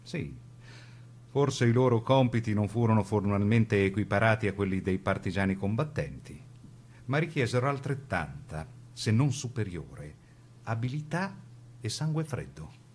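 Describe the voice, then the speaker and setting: Expressive male narrator, very varied pitch. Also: moderate pace, slightly rough. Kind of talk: scripted dramatic documentary narration.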